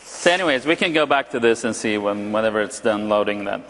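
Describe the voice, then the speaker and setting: Gravelly-voiced man, Arabic native speaker. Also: on the low side, lively intonation, fast, fairly bright tone. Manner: hesitant, many fillers and restarts. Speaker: security expert presenter. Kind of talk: live conference demo. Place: large conference hall. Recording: long hall reverb.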